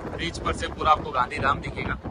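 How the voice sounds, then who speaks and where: A man's voice: on the high side, fairly even intonation, fast talker, heavy background noise. A man narrating a travel vlog outdoors at bus stations at night, pointing things out to viewers he calls friends. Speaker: travel vlogger.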